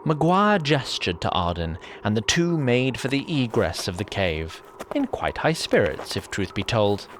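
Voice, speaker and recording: A man's voice: lowish voice, big pitch swings, unhurried, hardly any pauses, a little hiss or hum. A man narrating a scripted fantasy story in a dramatic, wry and literary manner. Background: music.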